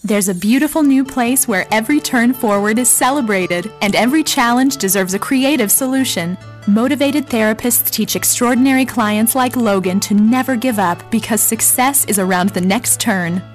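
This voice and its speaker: A woman's voice: on the high side, normal speed, faint music behind. A woman narrating a scripted, inspirational local commercial.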